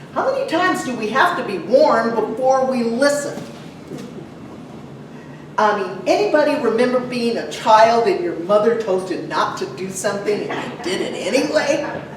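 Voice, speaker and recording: A woman preaching a sermon to a congregation in a conversational way, putting questions to her listeners and pausing for emphasis. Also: on the high side. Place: large echoey church room. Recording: very echoey, distant mic, some noise.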